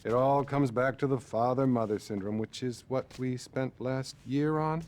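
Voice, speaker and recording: An actor playing a calm, clinical psychiatrist who speaks in a measured, unflappable way, in a video game cutscene. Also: male voice, mid-range voice, close to an everyday voice.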